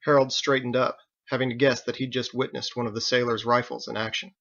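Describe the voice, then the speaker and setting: Male audiobook narrator, middle pitch, creaky and forceful, dramatic prose reading, slightly dull recording. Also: little pitch movement, fast. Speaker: author reading own novel.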